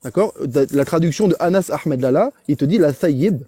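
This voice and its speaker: A man argues his point in a live online debate, pressing and repeating himself. His voice is dark and mellow, slightly raised, with a dry close sound. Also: on the high side, lively intonation, normal speed, husky, dry recording. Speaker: debate participant. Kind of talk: arguing a religious text in a live debate.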